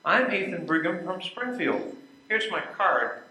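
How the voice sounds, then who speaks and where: A man's voice: sits high, sing-song, a little slow, pushed and bright, exaggerated voice. A man playing a genial, chatty bicycle company executive in his mid-fifties in a readers theater play. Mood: sociable, chatty. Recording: echoey room recording.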